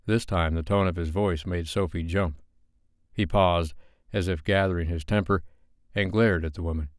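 A man's voice: low voice, fairly even intonation, normal speed, no echo, clean studio sound. A man narrating scripted fiction as an audiobook reader.

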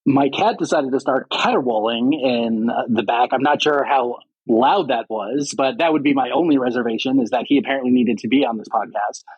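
A man's voice: medium pitch, a little fast, darkish tone, breathy edge, dry recording, dull audio. A man co-hosting a podcast, chatting casually in a dry, playful way. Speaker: podcast co-host.

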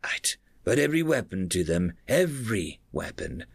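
A man's voice: deep voice, big pitch swings, normal speed, gravelly, breathy edge, dry recording, exaggerated voice. A man voicing a dutiful, wary alien warship captain with a formal, commanding manner in a science fiction story.

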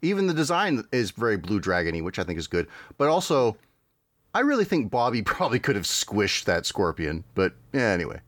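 A man's voice: on the low side, huge pitch swings, brisk, fairly bright tone, no room sound. A man co-hosting a podcast, chatting casually and commenting on a cartoon. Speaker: podcast co-host.

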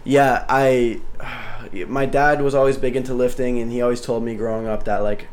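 Halting nineteen-year-old man guest. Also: medium pitch, flat delivery. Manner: halting, many restarts and fillers. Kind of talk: casual interview chat.

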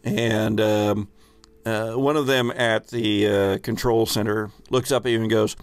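Male game master, unhurried and gravelly, thoughtful improvised narration, very dry sound. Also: fairly low pitch, fairly even intonation, no room sound.

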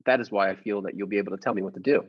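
An actor playing a timid, henpecked little husband in an old-time radio comedy, stammering and fussily polite. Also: male voice, lowish voice, racing, husky. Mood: nervous, hesitant. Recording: dull lo-fi audio with music behind.

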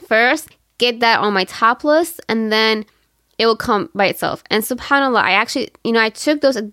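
A woman's voice: on the high side, fairly bright tone, no echo, studio quality. A woman in her thirties from Syria, hosting a podcast and telling her own story in an earnest, reflective way, with many fillers. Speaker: podcast host. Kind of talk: personal storytelling monologue.

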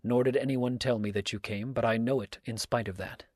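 A man voicing a stern, righteous countryman in an audiobook, speaking in a measured, formal, sermonizing way. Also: medium pitch, fairly even intonation, no echo, clean studio sound, lightly acted. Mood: coolly reasoning. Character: stern, unhurried righteous countryman, preaching and probing. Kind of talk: audiobook character dialogue.

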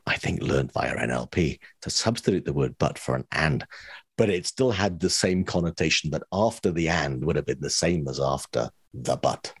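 Very deep, hoarse-voiced man. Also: no room sound, studio quality. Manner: reflective, meandering. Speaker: podcast co-host. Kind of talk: casual podcast chat.

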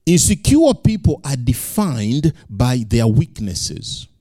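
A male pastor preaching in English, which is not his first language, building to loud emphatic peaks and repeating lines for effect. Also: mid-range voice, no echo, studio quality. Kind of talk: sermon.

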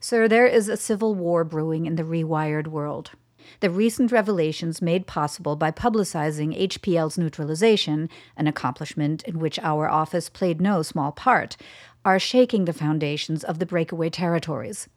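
An actress playing a careful middle-aged government scientist in a radio drama, speaking formally, with measured deference. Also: female voice, sits low, dry recording, clean studio sound. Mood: measured, earnest.

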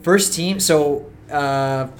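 A man's voice: on the high side, extremely slow, slight background noise. A young man raised in Mexico City, talking casually as a podcast guest, with lots of fillers like "um" and "like". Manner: relaxed, many fillers like "um" and "like". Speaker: podcast guest, soccer player. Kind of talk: casual interview chat.

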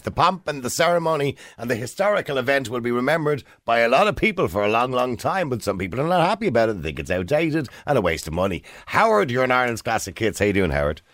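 A man hosting a call-in radio show, talking quickly and pushing back on callers. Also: medium pitch, a bit bright, no room sound, studio quality. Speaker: radio phone-in host. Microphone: studio mic.

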